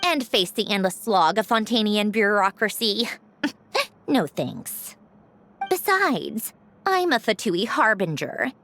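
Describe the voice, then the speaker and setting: A woman's voice: mid-range voice, very animated, slow pace, a bit bright, slight background noise, heavily acted. A woman playing a proud, sardonic young villainess in a video game, with a dry, condescending, theatrical delivery. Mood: scornful, smug. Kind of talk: video game character dialogue.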